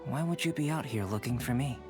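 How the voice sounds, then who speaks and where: A man voicing a calm, composed young master alchemist in an English video game dub, measured, formal and polite. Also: medium pitch, loud music behind the voice, lightly acted.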